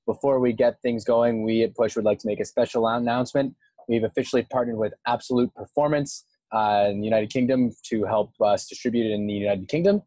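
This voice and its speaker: A man's voice: mid-range voice, fairly quick, dark tone. A man opens a webinar, thanking partners and handing over to the guest speaker. His mid-range voice sounds close and dry, with no room echo. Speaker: webinar host. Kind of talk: hosting introduction. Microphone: video call.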